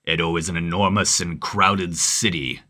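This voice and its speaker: A man playing a grim, vengeful samurai searching for his brother's killer, solemn, terse and insistent, in an animated story. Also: sits low, moderate pace. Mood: brooding. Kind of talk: dramatized animated bedtime story. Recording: loud music under the voice.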